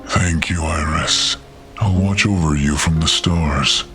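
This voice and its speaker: An ancient wolf spirit as acted by a man speaks in an extremely deep voice. The guardian is solemn yet gentle, with slow, measured words suited to a fantasy audio story. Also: over music. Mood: solemn, tender. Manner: slow, grave, measured delivery.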